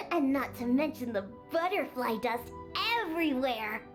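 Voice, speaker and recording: Anime game cat-girl child, very high and swoopy, bratty, stubborn, game music underneath. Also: lively intonation, unhurried. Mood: businesslike. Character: young cat-girl bartender, tsundere, exasperated and stubborn.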